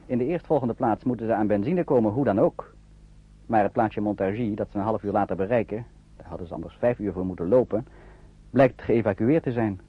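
A man narrating a wartime radio play in a measured, literary storytelling manner. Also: fairly low pitch, breathy edge. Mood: narrative, suspenseful. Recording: muffled old radio drama recording.

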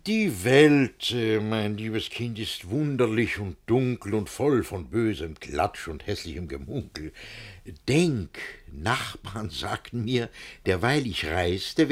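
An actor with speech playing a pompous, jealous older guardian in a rhymed verse radio play, husky and halting. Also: male voice, lowish voice, full character voice. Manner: pompous, halting, swings from muttering to outbursts.

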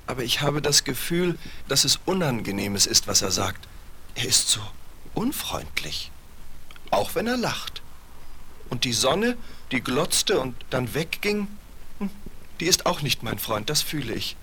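A naive, well-mannered snowman in a vintage children's radio play. The pitch is mid-range and very animated, full of earnest wonder, over a faint vinyl hiss. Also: unhurried. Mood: pensive. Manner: polite, wondering, expressive storytelling. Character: naive, earnest, courteous young male snowman. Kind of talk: 1970s German fairy-tale radio play.

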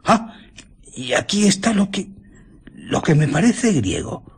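Jittery, breathy, high-voiced village gentleman. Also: sing-song, heavily acted. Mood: uneasy. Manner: nervous, halting, breathy. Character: jittery, frightened village gentleman, fussy and insistent. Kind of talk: radio drama acting.

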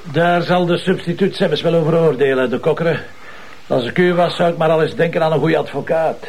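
Gravelly, sardonic Flemish police commissioner. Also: male voice, a little high, fairly quick, darkish tone. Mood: mocking, probing. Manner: mocking, probing, dryly ironic. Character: sardonic, self-assured male police commissioner, needling a suspect. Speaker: actor in a TV crime drama. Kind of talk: naturalistic screen acting in Flemish crime drama.

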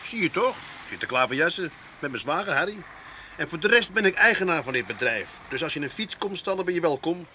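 A man's voice: fairly high pitch, sing-song, a little fast, sharp tone, slight background noise, exaggerated voice. An actor playing a cheeky, argumentative working man with an Amsterdam accent in a comedy radio drama, mocking and blustering. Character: cheeky, argumentative Amsterdam working man, ex-crook turned bike-shed owner.

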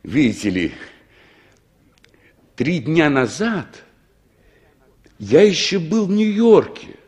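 An actor playing an earnest reformed gang leader recounting his life in measured phrases in a 1960s radio play. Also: a little high, big pitch swings, a little mellow, breathy edge, exaggerated voice.

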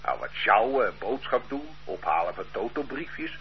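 A man's voice: lowish voice, normal speed, slightly rough, heavily acted. An actor playing an easygoing older man who loiters on the street, chatty and bewildered by accusations in a radio drama. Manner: lively, chatty, homely, protesting. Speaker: suspect. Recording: dull lo-fi radio play recording, faint hiss.

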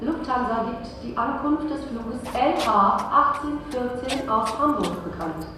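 Echoing distant tannoy, noisy, higher female voice, formal airport announcer. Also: animated, unhurried, over music. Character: airport public address announcer.

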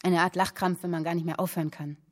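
A woman playing an expressive storyteller in a radio play, vividly recounting an old tale and savouring her phrases. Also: on the low side, quick pace, lightly acted. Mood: amused.

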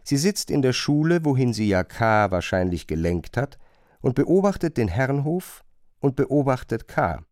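A man's voice: medium pitch, sing-song, moderate pace, a little mellow, no echo, clean studio sound. A man narrating a radio drama in a scripted, literary style.

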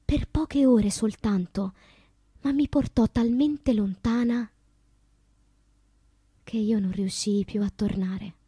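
An actress playing a wistful, wounded woman poet in a theatrical radio monologue, with slow deliberate phrasing and a breathy voice that drops into whispers. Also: on the high side, dark tone, no room sound. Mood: wistful, haunted.

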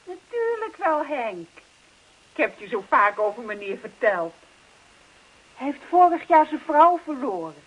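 An actress playing a chatty, insistent and exasperated wife in a radio play, with an emphatic way of talking. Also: female voice, high voice, very animated, unhurried, muffled recording. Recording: old radio play recording.

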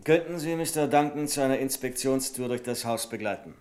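An actor playing a dutiful, guarded senior bank clerk in a 1970s radio play, formal and controlled in manner. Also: male voice, medium pitch, brisk, darkish tone, slight background noise, natural voice.

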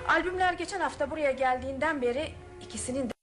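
A woman's voice: high-pitched, fast talker, a bit bright, soft background music. A woman acting in a radio play as a composed, resolute, suspicious daughter of a wealthy household, speaking in a firm, formal way. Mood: cool, accusing.

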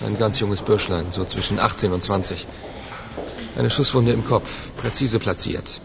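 Gravelly, matter-of-fact detective. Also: male voice, fairly low pitch, moderate pace, background noise. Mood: grim, matter-of-fact. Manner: matter-of-fact, clipped, wry. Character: matter-of-fact male police detective, wryly gloomy. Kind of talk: radio play acting.

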